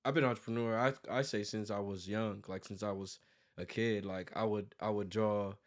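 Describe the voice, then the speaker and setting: Low-voiced American man, rambling casually. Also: steady tone, normal speed. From United States, born in New York and raised in Virginia. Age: 30s. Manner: rambling, frequent "like" and self-corrections. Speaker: interview guest, graphic designer and business owner. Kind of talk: casual conversational interview.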